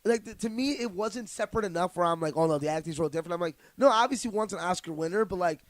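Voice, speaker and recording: A quick, high-voiced man co-hosting a podcast who gives blunt opinions and fills his speech with "like." The recording is dry and close. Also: no room sound. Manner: quick, blunt, says "like" often. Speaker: podcast co-host. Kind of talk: casual chat.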